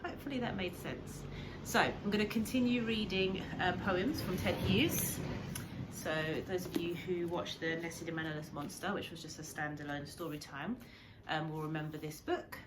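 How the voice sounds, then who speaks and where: Female primary teacher, lowish pitch, informal story-time delivery aimed straight at young pupils. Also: normal speed, background noise. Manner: addresses children directly with questions.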